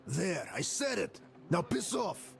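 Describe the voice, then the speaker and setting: A man's voice: sits high, very animated, takes it slowly, gravelly, faint music behind, exaggerated voice. An actor playing a blunt, defiant, fed-up crewman in a post-apocalyptic video game. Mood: bitter defiance. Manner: blunt, sarcastic. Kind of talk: video game cutscene acting.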